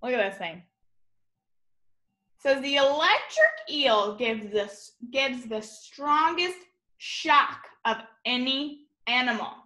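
A young woman reads aloud to children like an elementary teacher. Her voice is fairly high and very bright, and she takes her time with each phrase. She is expressive, slipping informal asides and simple explanations in between the lines of the book. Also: slow pace, low-quality recording. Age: young adult. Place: room at home, indoors.

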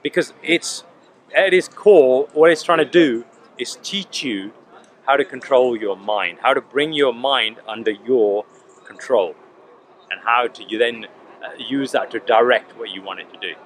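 A man's voice: medium pitch, a little slow, slight background noise, poor audio. A man in his forties speaking earnestly to the camera, gesturing as he talks, outdoors in a park. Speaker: vlogger. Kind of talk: casual reflective monologue. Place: park lawn outdoors by a statue. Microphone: camera at close range.

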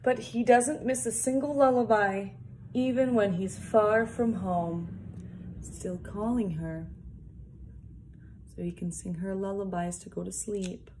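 Young woman, storytime reader for little kids. Unhurried pace, mid pitch, faint room noise behind. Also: steady tone, a little mellow, poor audio. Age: young adult. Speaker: early years worker reading a picture book. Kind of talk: read-aloud storytime for young children with casual asides. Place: small plain indoor room, seated at a desk. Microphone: phone or device propped at arm's length.

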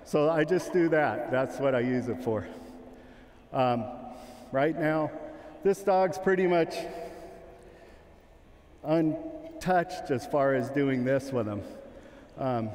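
Man, sheepdog trainer, slow relaxed talk, long arena reverb. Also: mid-range voice. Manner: casual, joking, calls commands to his dog. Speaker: sheepdog trainer giving a clinic. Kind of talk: live demonstration talk. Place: large indoor arena.

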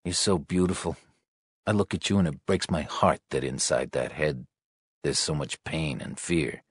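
A man reading an audiobook, playing a calm, patient, world-weary male detective in a low-key, dry, reassuring way. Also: moderate pace, slightly rough. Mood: patient, tender.

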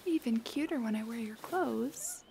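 Soft, breathy, half-whispering affectionate girlfriend played by a woman. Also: a little high, lively intonation, a little slow. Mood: playful, teasing. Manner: gentle, playful, affectionate. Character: cuddly, teasing, affectionate adult girlfriend. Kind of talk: intimate roleplay ASMR, soft and half-whispered. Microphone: close microphone.

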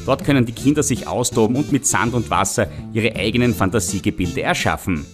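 A man's voice: on the low side, a little fast, faint music behind. A man who narrates a regional TV magazine and asks passers-by questions. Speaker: reporter and narrator. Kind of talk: scripted magazine narration and street interviews.

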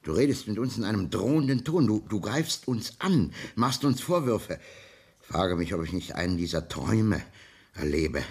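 An actor playing a dignified older judge in a radio play, formal, affronted and defensive. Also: male voice, fairly low pitch, big pitch swings, normal speed, vocal fry, husky, full character voice. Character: indignant, dignified old judge, the father-in-law. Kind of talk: German radio play acting.

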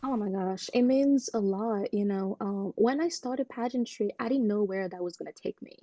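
A woman's voice: medium pitch, normal speed, no echo, muffled recording. A woman in her twenties, originally from Congo, speaking earnestly as an interview guest over a video call, telling her story with lots of "um" and "you know". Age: 20s. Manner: earnest, animated storytelling with many fillers. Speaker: guest, pageant titleholder. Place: home room indoors.

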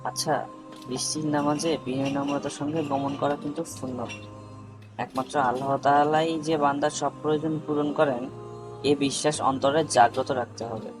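A man's voice: fairly high pitch, fairly even intonation, normal speed, lightly acted. A young man playing a friendly, earnest student who explains religion to his friend in scripted cartoon dialogue, with music behind. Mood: earnest, instructive.

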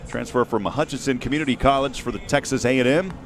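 A man in his thirties calling a baseball game live in short, measured phrases, speaking into a headset mic outdoors. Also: medium pitch, faint music behind. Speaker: radio play-by-play baseball announcer. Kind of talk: live sports commentary. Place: outdoors at a windy ballpark. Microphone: headset boom mic.

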